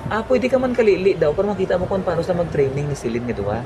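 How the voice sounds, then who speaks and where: A woman's voice: on the low side, expressive, racing, crowd noise, natural voice. Teasing young man, as a woman voices him. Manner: teasing, playful. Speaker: character in an audio drama. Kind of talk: amateur audio drama acting.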